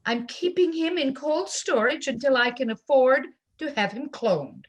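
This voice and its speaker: Casting: woman as defensive, stubborn mom of an adult son; high, animated voice, indignant delivery, stage reading. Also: measured pace. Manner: indignant, exclamatory. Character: stubborn, defensive mother of a grown son, knitting cat lover. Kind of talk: live play reading over video call. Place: indoors, on a video call.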